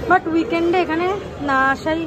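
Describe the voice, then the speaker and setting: A woman's voice: very high voice, fairly even intonation, moderate pace, a loud crowd, heavy background noise. A young woman, narrating a casual food vlog and talking straight to her viewers. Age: young adult. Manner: chatty, talks straight to viewers. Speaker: vlogger. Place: busy cafe, then a car.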